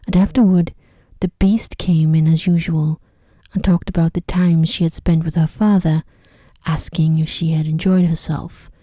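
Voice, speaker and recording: A woman reads a sleep story in a low, very mellow voice. She is soft and breathy, often slipping toward a whisper, with a soothing, unhurried delivery. The sound is close and dry. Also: no echo. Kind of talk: ASMR bedtime story reading. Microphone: close microphone.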